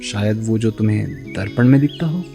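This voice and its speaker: Wry heartbroken young Darpan. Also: male voice, fairly low pitch, normal speed, vocal fry, music in the background. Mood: teasing. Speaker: radio drama actor. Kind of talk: radio play acting.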